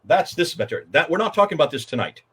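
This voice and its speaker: Husky American male guest, lively pitch, forceful and commanding. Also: fast. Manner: emphatic, interrupts and takes charge of the conversation. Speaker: guest speaker. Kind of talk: animated explanatory talk.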